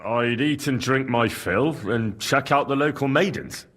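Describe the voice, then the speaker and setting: An actor playing an earnest, cheeky young blacksmith's hand, polite and plain-spoken, in a video game. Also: male voice, medium pitch, a little slow, a bit bright. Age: young adult. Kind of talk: video game dialogue acting.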